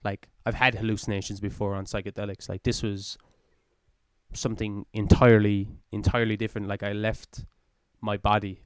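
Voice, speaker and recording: A man in his twenties rambles earnestly through his ideas and leans on lots of filler words. His voice is smooth and a touch low, and the sound is dry and close. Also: flat delivery, fairly quick, no echo. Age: 20s. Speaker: podcast co-host. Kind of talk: casual chat.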